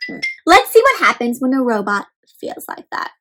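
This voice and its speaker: A woman doing an animated, interactive picture book read-aloud for children, full of questions to the listeners. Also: a little high, normal speed, loud music behind the voice.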